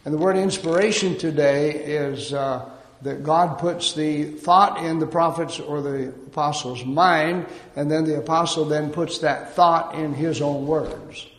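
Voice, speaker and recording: A man preaching a sermon in a measured way, pausing often on fillers. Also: fairly high pitch, steady tone, a bit bright. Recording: echoey hall.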